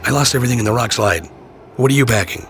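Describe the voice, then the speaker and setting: An actor as a resolute male hero agent in a video game, terse and decisive. He has a cool, medium-pitched voice with faint noise behind. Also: very fast, fairly bright tone, lightly acted. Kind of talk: video game cutscene acting.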